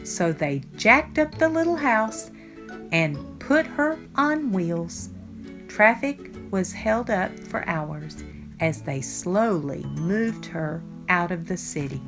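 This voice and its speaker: An older woman reading a children's picture book aloud, with deliberate, expressive phrasing for young listeners. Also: mid-range voice. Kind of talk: read-aloud storytime narration. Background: background music.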